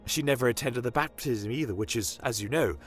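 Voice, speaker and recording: Guy doing a nervous fantasy pastor, stuttery and hesitant, pitched up, kinda unsettling. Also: faint music behind, natural voice. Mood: nervous, chatty. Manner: halting, stammering, with nervous chuckles.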